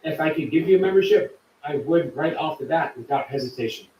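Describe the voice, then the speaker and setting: A man's voice: fairly high pitch, animated, a little fast, reverberant, recorded from a distance, rough recording. A man hosting a live stream, chatting casually and reading viewer comments aloud. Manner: chatty, warm to viewers, reads chat aloud. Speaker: livestream host.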